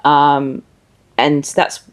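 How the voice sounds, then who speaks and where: A woman's voice: deep voice, monotone, drawn-out words. A woman hosting a conversational interview, speaking informally and thinking aloud as she goes. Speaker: interviewer, show host.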